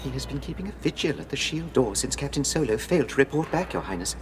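A man's voice: mid-range voice, animated, fast, background noise, close to an everyday voice. A man playing an anxious, polite male protocol droid in a radio drama, with prim, formal, fretful diction. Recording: music behind the voice.

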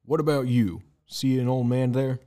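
Guy doing a stern practical cowboy in an audio drama, curt, in charge, medium pitch. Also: normal speed, warm tone, close to an everyday voice. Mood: stern, guarded. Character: stern, practical Wild West man, wary protector. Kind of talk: amateur audio drama acting.

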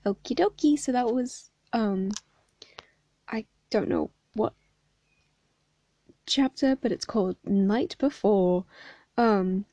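A young woman, hoarse from hay fever, reading a story aloud and chatting casually between chapters. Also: medium pitch, very animated, slow, pauses often, warm tone, no echo. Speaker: fan fiction reader.

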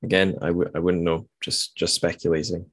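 A man's voice: low-pitched, level tone, a little fast, husky, no room sound, studio quality. A man hosting a casual podcast discussion, speaking in a tentative way and often qualifying what he says. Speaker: podcast host.

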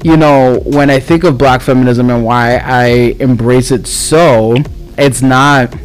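A man of Cuban heritage in casual conversation, talking animatedly with quick asides and sudden jumps up in pitch when quoting others. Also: soft background music, low-quality recording. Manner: animated, fast asides, voice jumps up for quoted remarks. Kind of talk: casual chat.

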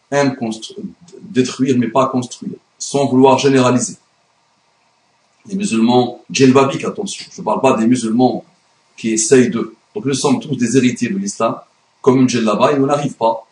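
Guy ranting about religion on his channel, emphatic, echoey room. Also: medium pitch. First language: Arabic. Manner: emphatic, indignant. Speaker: YouTube commentator. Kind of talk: religious commentary monologue. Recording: roomy echo.

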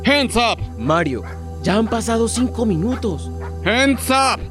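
High-pitched stern guard. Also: male voice, big pitch swings, slow pace, over music, full character voice. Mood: questioning. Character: Steve, English-shouting American detention guard, stern. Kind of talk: radio theatre acting.

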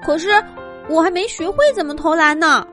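A woman's voice: very high voice, fairly even intonation, a little fast, a bit bright, faint music behind, pitched above the performer's own voice. A woman voicing a timid little boy rabbit in a children's story, asking hesitant, wondering questions. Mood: unsure.

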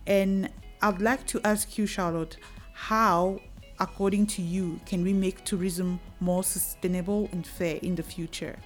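Female host, mid pitch, steady questions, faint music under. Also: measured pace. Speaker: interviewer, host. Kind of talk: interview questions.

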